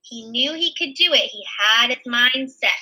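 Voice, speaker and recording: A woman's voice: on the high side, expressive, normal speed, bright, forceful tone, breathy edge, poor audio. A woman reading a children's picture book aloud expressively, adding simple explanations as a teacher would. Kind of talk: animated children's read-aloud with asides.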